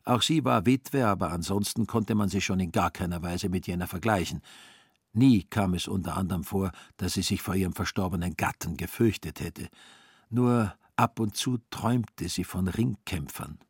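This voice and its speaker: A man with a husky voice narrating a literary radio play in a wry, dry manner. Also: deep voice, moderate pace, dry recording.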